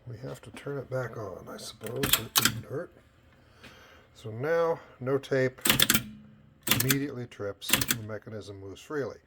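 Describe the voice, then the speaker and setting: A man's voice: mid-range voice, measured pace, very noisy. A plain-spoken man presenting an informal hands-on repair demonstration as a technician, recorded on a cell phone at a workbench. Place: indoors at a repair bench. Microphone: cell phone camera. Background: music playing from tape machine.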